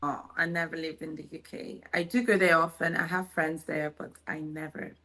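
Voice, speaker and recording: A woman's voice: deep voice, level tone, normal speed, sharp tone. A young woman from Italy whose first language is Italian, a guest chatting on a dating show, giggly and a little shy. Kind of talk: casual interview chat.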